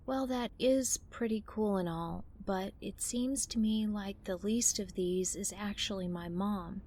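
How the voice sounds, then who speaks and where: A woman's voice: mid-range voice, measured pace, darkish tone. A young woman playing a guarded teen girl. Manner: wry and dismissive. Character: troubled teenage girl, guarded, dry. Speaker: daughter.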